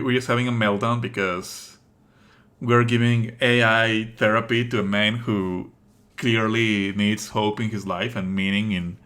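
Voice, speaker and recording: A man's voice: fairly low pitch, a bit bright. A man doing live let's play commentary as a streamer, emphatic, exasperated and prone to swearing.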